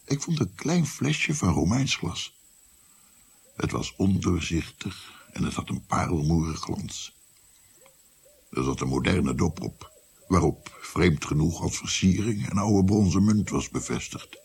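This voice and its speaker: An old grandfather in a radio drama, looking back on a dark past. His voice is low, gravelly and breathy, swinging widely in pitch. He speaks in a halting, musing way and often sinks toward a whisper. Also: big pitch swings, heavily acted. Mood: hushed, musing. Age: older.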